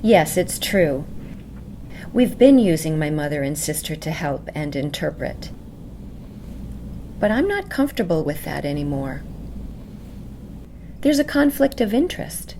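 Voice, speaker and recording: Woman acting an insistent, expressive deaf patient. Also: low-pitched, unhurried, pauses often, full character voice. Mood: earnest. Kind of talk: voiced dialogue and scripted explanation in an educational video. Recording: faint background noise.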